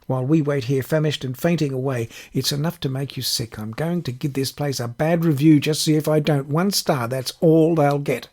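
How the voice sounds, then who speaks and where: A man's voice: fairly high pitch, normal speed, hardly any pauses, a little mellow, natural voice. A flustered young woman biker who fumes and frets, as a man voices her in a raised register. She complains hotly one moment and begs the next. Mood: fuming.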